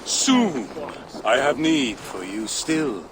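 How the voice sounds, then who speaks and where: A menacing sorcerer lord of the fire giants in a video game. He speaks slowly and theatrically with a lively, swooping pitch. Windy ambient noise surrounds him. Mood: sly, commanding. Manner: drawn-out, theatrical commands.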